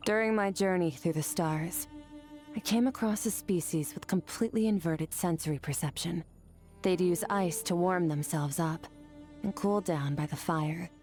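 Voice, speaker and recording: Low calm warrior lady voiced by an actress, game character, aloof and measured, music behind. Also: natural voice. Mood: calm, reflective. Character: composed, aloof female warrior musing on life and training. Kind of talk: scripted game character voice lines.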